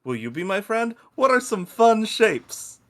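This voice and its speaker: A man's voice: high-pitched, measured pace, full character voice. A man playing a crude, teasing space probe who loves football and shows off as he explains, in a table-read audio drama. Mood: mocking. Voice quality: animated, swooping delivery. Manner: foul-mouthed, teasing, showy explainer.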